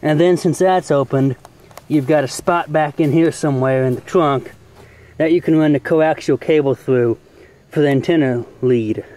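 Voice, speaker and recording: A man's voice: fairly high pitch, normal speed, dark tone. A man giving a casual hands-on tutorial in a folksy, plain-spoken way, as host of a homemade how-to video. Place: outdoors in and around a car.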